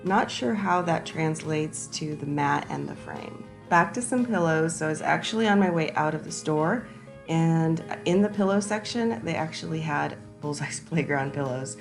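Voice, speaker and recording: A woman narrating a casual shopping vlog, hoarse and raspy from a sinus infection, chatty and enthusiastic as she talks to her viewers. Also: deep voice, moderate pace, over music. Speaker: shopping vlogger. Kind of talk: casual shop-with-me voice-over.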